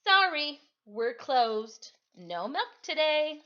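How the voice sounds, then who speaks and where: A woman's voice: sits high, big pitch swings, takes it slowly, pushed and bright, voice pushed up, exaggerated voice. A woman reading aloud the notes of polite typing farm cows in a prim letter-reading tone. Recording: slightly dull lo-fi sound.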